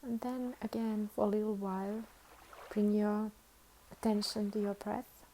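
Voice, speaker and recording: A woman teaches a guided meditation in a mellow, relaxed voice. She speaks very evenly and unhurriedly, leaving long pauses between soothing instructions. A faint layer of background noise sits behind her. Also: mid-range voice, poor audio.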